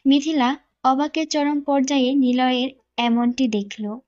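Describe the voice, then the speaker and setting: High-voiced woman narrating a romance. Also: steady tone, unhurried, darkish tone, dull audio. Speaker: story narrator. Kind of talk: scripted dramatic romance narration.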